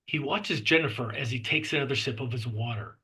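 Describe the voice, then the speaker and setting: Bright, husky male script narrator. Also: mid-range voice, brisk. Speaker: narrator reading stage directions.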